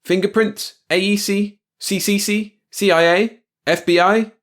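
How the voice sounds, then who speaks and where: A man playing a suspicious male security officer who shouts and snaps in a clipped, urgent way in a story. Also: sits high, fairly even intonation, measured pace, pitched above the performer's own voice. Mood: urgent shouting.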